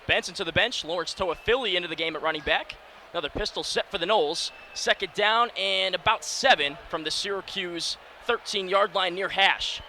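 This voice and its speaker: A man in his early twenties calling live football play-by-play on radio with energetic, clipped phrasing. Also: high-pitched, fairly quick. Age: early 20s. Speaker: play-by-play announcer. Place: sports broadcast position inside a domed stadium. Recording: steady stadium noise behind. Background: stadium ambience.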